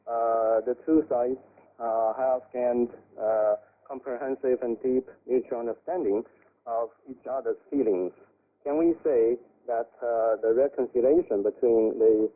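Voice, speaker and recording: A man speaking as an academic guest in a radio discussion, giving measured, halting analysis over a shortwave broadcast. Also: mid-range voice, phone-quality sound.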